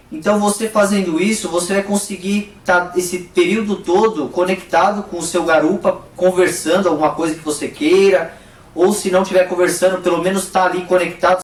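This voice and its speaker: A man with a Brazilian accent giving a casual, conversational explanation as a vlogger, often checking in with short tag questions. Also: high voice. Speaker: motorcycle vlogger. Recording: echoey room, distant microphone.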